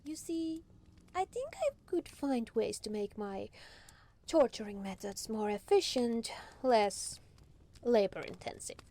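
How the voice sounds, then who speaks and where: A woman's voice: on the high side, big pitch swings, slow pace, warm tone, exaggerated voice. A woman playing a proud, teasing demon lord in a roleplay ASMR monologue, casually regal and playfully menacing. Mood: frank, pleased.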